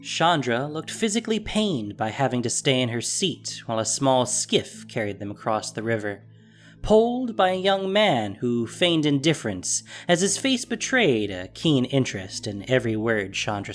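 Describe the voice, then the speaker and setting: An animated storyteller with a mid-pitched voice and wide-moving intonation. The delivery is scripted, dramatic narration for an audio drama, with soft music behind it. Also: normal speed.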